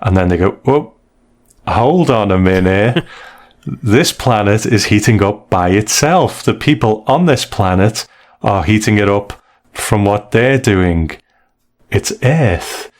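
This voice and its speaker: Low voiced guy hosting a chatty review podcast, explains plots, hedges his opinions a bit. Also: lively intonation, slow pace. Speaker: podcast host. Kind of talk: casual review discussion, retelling comic stories.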